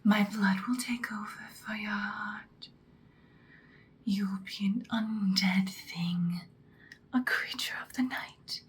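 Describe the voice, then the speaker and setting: A woman voicing an imperious, seductive ancient vampire in a breathy, dramatic whispered ASMR roleplay. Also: medium pitch, steady tone, slight background noise, natural voice. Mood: commanding, ominous. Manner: slow, deliberate, dramatic. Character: ancient female vampire, seductive, imperious, menacing yet protective.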